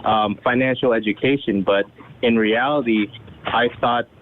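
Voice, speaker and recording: A young man presenting himself to a group on a conference call. He talks quickly and chattily and keeps checking in with "right?" and "okay?". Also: medium pitch, fairly even intonation, slight background noise. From Saudi Arabia. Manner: fast, chatty, uses "right?" and "okay?" often. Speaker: guest presenter. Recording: tinny phone line.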